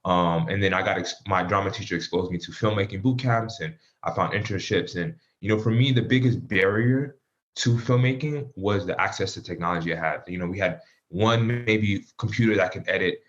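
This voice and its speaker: A man's voice: low-pitched, fairly quick, muffled recording. A man in his thirties from Miami, a guest answering casually with many fillers. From Miami, Florida. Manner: relaxed, many fillers like um and you know.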